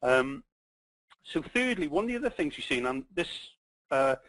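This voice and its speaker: A middle-aged man with a Welsh accent presenting a business webinar, pausing often with ums and restarting his sentences. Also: a little high, sing-song, a bit bright, no room sound, poor audio. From Wales. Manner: halting, many ums and restarts.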